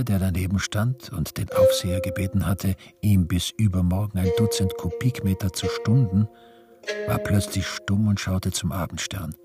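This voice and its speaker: A man narrating a literary radio drama from a script. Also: low-pitched. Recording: music under the voice.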